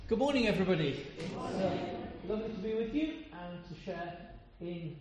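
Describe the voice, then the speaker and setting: An older man from with a loud voice, preaching an informal sermon in a chatty, meandering way with frequent pauses. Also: high-pitched, animated, noisy background. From Coventry, England. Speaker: lay preacher. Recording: echoey hall sound, dull lo-fi.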